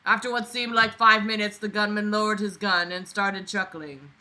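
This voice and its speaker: Bright, sharp, sarcastic woman reading aloud. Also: medium pitch, fairly even intonation, moderate pace, low-quality recording. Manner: sarcastic, exasperated, foul-mouthed. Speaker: online book reader and commentator.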